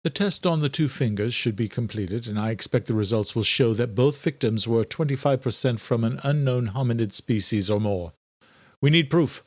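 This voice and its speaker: Audiobook scientist for a male narrator: wry, determined, expressive doctor, recorded very dry. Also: mid-range voice, normal speed, no echo, lightly acted.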